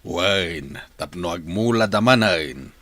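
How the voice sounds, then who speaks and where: A man's voice: low-pitched, animated, slow, fairly bright tone, no room sound, exaggerated voice. A man playing an easygoing older village husband in an Ilocano radio drama, with an Ilocano accent. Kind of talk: radio comedy-drama acting. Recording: noisy ambience behind.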